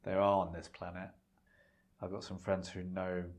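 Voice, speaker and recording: A man from with a low, deep voice, chatting as a podcast guest. He thinks aloud, circling back with fillers and restarts, and his was Punjabi. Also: monotone, normal speed. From London, England. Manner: thoughtful, rambling with many fillers. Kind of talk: casual interview chat.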